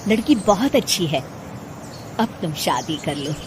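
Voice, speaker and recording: A woman voicing a caring, persuasive sister-in-law in a cartoon story, measured and coaxing, with noise behind. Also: on the low side, sing-song, pitched below the performer's own voice. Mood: gentle, coaxing. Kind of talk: cartoon moral story acting.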